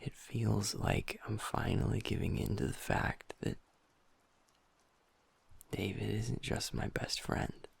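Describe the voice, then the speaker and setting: Man playing a sweet young werewolf boyfriend for an audio roleplay, low gravelly voice, slow and soft, half-whispered with halting pauses. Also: level tone, full character voice. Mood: vulnerable, confiding. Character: gentle young male werewolf boyfriend, usually upbeat, now downcast.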